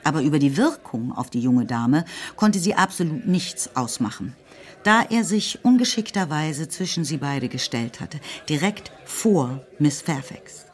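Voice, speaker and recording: A woman giving calm, measured scripted narration for a radio play. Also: low voice.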